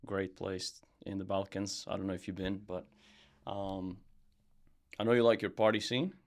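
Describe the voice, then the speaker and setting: Young guy from serbia, low gravelly voice, flat delivery, loose rambling chat with lots of ums. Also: normal speed. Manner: relaxed, rambling, many fillers and restarts. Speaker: podcast guest, brand founder. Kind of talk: casual interview chat.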